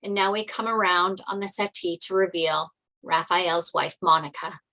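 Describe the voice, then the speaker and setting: A woman reading script narration and stage directions aloud at a table read in an animated storytelling way. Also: fairly low pitch, normal speed, rough recording.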